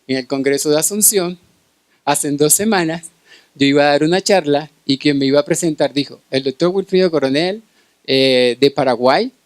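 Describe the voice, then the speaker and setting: A man's voice: a little high, normal speed, dry recording. A middle-aged man with a Colombian Caribbean accent giving a medical conference lecture, often checking in with short tag questions. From Cartagena, Colombia. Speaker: pediatric infectious disease specialist giving a lecture.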